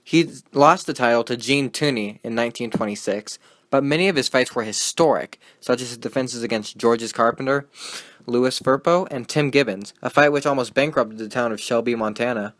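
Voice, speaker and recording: A man's voice: mid-range voice, moderate pace, fairly bright tone, natural voice. A man playing a skeptical, wisecracking 1920s radio sports host, with folksy period slang and a sardonic manner. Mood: enthusiastic.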